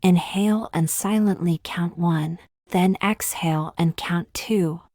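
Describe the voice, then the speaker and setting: A woman gently narrating a guided meditation in a soft, breathy, soothing voice, slowly and with long pauses. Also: on the low side, dry recording, studio quality.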